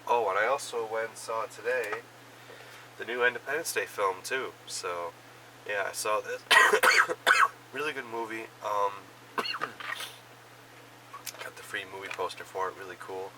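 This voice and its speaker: A man with a cold, hoarse and coughing, casually rambling through a recap of the films and shows he watched. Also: lowish voice, measured pace, pauses often, distant mic, very noisy. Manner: rambling, many fillers and restarts. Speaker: vlogger reviewing films and shows.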